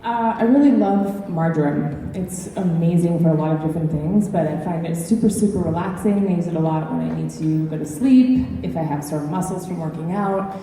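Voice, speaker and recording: A woman speaks casually on a panel in a fairly low, mellow voice, peppering her recommendations with "like". She is heard from a distance in a reverberant hall. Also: fairly quick, hardly any pauses. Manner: chatty, frequent "like". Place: large echoing event hall. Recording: echoey hall, distant mic, faint noise.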